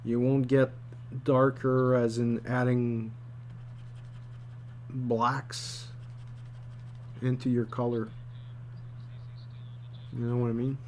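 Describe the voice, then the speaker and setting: Male art tutor, mid-pitched, halting and informal, talking through his work step by step; some background noise and hum. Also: flat delivery, a little mellow. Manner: halting, repeats phrases while demonstrating. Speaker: storyboard artist teaching. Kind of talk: informal drawing tutorial, thinking aloud while working. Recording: clearly noisy background with hum.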